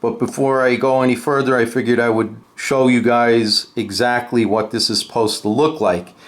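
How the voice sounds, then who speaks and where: A man's voice: mid-range voice, little pitch movement, moderate pace. A man giving a casual, unscripted hands-on tutorial and review, explaining step by step in a blunt way. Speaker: host of a guitar gear tutorial and review.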